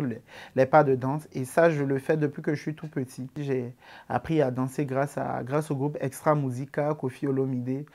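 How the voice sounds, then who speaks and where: A man in his twenties with an Ivorian accent, answering interview questions about his comedy in a relaxed way, with many fillers. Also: mid-range voice. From Ivory Coast. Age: 20s.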